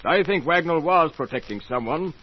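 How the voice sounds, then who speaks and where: An actor playing a shrewd, authoritative inspector, speaking deliberately and pointedly in an old-time radio mystery. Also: male voice, on the high side, expressive, natural voice. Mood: accusing. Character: shrewd, authoritative police inspector, methodical accuser. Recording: muffled, lo-fi old radio recording with hiss.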